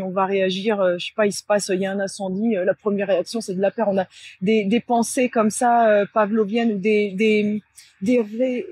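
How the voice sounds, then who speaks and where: A woman with a slightly husky voice talks casually to viewers, explaining things in a halting way with self-corrections. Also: fairly high pitch, a little mellow, no room sound. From France. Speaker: channel host and spiritual coach. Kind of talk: casual vlog talk to viewers. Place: outdoors beside a large tree near a road.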